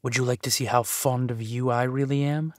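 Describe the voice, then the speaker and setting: An actor playing a ruthless, possessive villain duke of low birth in an audio roleplay, with menacing, deliberate phrasing. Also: male voice, fairly even intonation, a little mellow, no echo, lightly acted. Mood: angry, menacing.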